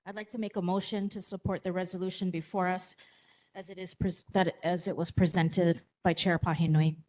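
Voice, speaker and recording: Woman, board member, formal, quiet, flat, mellow. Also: on the low side, a little fast, poor audio. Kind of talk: formal motion. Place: community meeting room.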